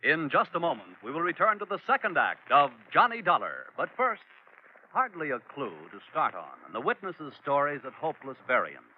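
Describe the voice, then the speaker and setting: Male 1940s American radio announcer: lively swings in pitch, scripted promo read, slightly dull vintage sound. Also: normal speed. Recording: dull, faintly noisy vintage radio recording.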